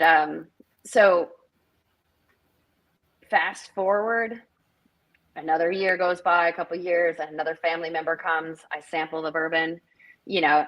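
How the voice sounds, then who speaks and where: Chatty middle-aged woman, low voice. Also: fairly bright tone, rough recording. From northern Minnesota. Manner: chatty, rambling with fillers. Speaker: festival director, guest. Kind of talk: casual interview chat.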